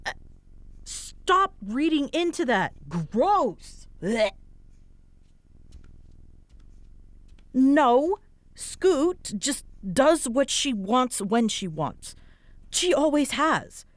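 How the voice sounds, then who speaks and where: Roleplay audio drama: prickly young female roommate played by a woman, unhurried, halting, defensive delivery with a soft side, very dry recording. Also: high voice, very animated, no echo, full character voice. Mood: flustered.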